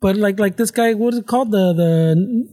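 A man's voice: sits high, fairly quick, dark tone. A man co-hosting a podcast in casual chat, swearing often and dropping Mexican Spanish slang into his talk. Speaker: podcast co-host.

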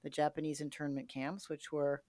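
A woman hosting an interview podcast, recapping and clarifying in a measured, explanatory conversational way. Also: low voice, little pitch movement, warm tone, no echo, clean studio sound.

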